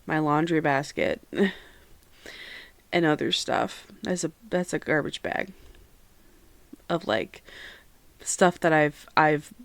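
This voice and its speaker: A woman's voice: low-pitched, takes it slowly, creaky, long pauses, no echo. Chatty young woman with a head cold. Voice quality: nasal. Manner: chatty, rambling, self-aware about yapping. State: stuffy head cold, nasal. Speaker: podcast host. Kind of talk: casual solo podcast chat. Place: own room at home.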